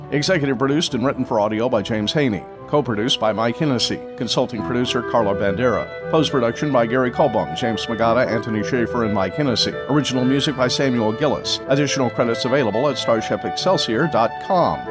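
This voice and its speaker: A man delivers scripted end credits as an announcer. His pitch sits in the middle, and loud music plays under the whole read.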